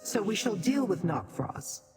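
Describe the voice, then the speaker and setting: An actress playing an imperious, scholarly demon sorceress in a video game, speaking formally and with scorn for mortals. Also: female voice, medium pitch, sing-song, over music. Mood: explanatory, confident. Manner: formal, measured, haughty delivery.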